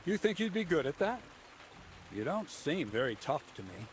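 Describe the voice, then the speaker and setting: An actor playing a gruff middle-aged miller who bosses a newcomer around with dry mockery, in a game with steady background noise. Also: male voice, a little high, normal speed. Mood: mocking. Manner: dry, mocking, bossy.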